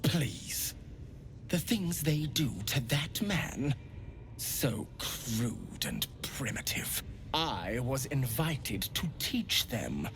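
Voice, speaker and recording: An actor playing a serene, fervently devout priest of a pain goddess in a video game, with theatrical, courtly tenderness and a breathy voice that slips into whispers. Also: male voice, mid-range voice, very animated, very slow, over music, heavily acted. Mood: unctuous, courteous. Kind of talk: video game character acting.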